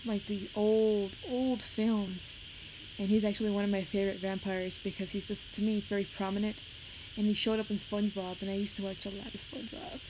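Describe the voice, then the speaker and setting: A young woman with a Puerto Rican accent chatting on a livestream, talking fast and rambling nervously. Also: medium pitch, flat delivery. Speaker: live streamer. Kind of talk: rambling casual chat on a livestream. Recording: noisy home stream audio.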